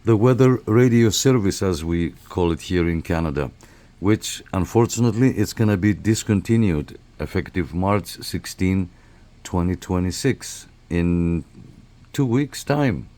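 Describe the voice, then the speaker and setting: Low, mellow-voiced man talking radios. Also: animated, a little slow. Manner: chatty, rambling with many fillers. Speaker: radio hobby channel host. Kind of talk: casual show-and-tell.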